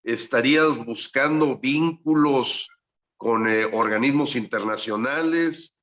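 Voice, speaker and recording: A man's voice: mid-range voice, slow, a bit bright. Conversational male interviewer, muffled audio. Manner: conversational, hesitant, rephrasing. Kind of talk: interview questions. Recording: dull lo-fi audio.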